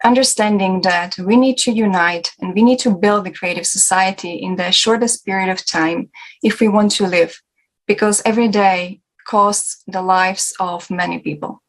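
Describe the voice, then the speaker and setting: A woman hosting a discussion, speaking off the cuff in short, halting phrases. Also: medium pitch, fairly even intonation, poor audio. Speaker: discussion host. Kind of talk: unscripted panel moderating.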